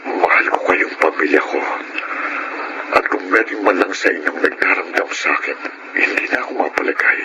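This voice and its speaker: A man's voice: deep voice, normal speed. A man with a Filipino accent playing a middle-aged, remorseful father and former thief who pleads earnestly with his family in a Tagalog radio drama. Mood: remorseful pleading. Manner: emotional, earnest, heavy delivery. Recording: very noisy radio drama recording.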